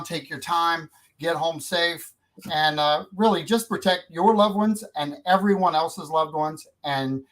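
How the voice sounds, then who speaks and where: Radio host guy, folksy and chatty, lots of uhs, slightly high voice. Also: a bit bright, poor audio. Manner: folksy, repetitive, many fillers. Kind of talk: community radio talk.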